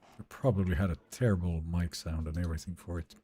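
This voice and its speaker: A man with a Swedish accent, live-streaming a game and chatting with viewers in a relaxed, talkative way. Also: low-pitched, fast, a little hiss or hum. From Sweden. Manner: relaxed, chatty, answers chat questions.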